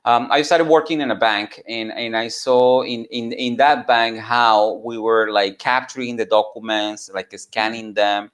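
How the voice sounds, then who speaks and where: Halting man with a Venezuelan Spanish accent. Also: medium pitch, fairly even intonation, fairly bright tone. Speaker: entrepreneur and mentor giving a talk. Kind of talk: informal personal storytelling.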